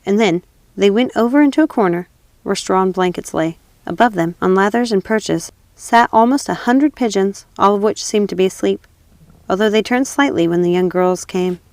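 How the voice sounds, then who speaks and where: A woman's voice: medium pitch, a little mellow, no echo. A woman narrating a classic fairy tale as a storybook reader.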